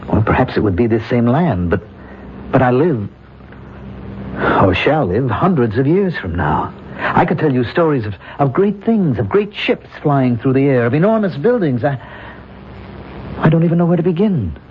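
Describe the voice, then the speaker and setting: An actor playing a bewildered modern man trapped in another life, halting, earnest and agitated, in radio drama style. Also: medium pitch, very animated, close to an everyday voice. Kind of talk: old-time radio drama acting. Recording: muffled old radio recording with noise.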